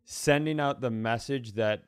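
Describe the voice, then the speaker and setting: A man's voice: mid-range voice, a little slow, smooth, no room sound, clean studio sound. A man co-hosting a podcast, telling casual comic stories in a wry, rambling way. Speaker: podcast co-host. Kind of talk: casual comedic storytelling.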